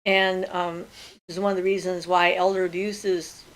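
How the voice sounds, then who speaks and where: A woman's voice: on the low side, flat delivery, normal speed, low-quality recording. An older woman hosting a livestream from home, chatting casually and answering viewers' questions as she thinks aloud. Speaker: host of a magic Q&A livestream.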